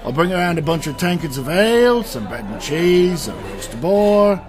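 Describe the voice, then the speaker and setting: A man's voice: high-pitched, sing-song, normal speed. A man playing a genial, deferential tavern keeper in a fantasy audio drama, with folksy, obliging talk. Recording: music under the voice.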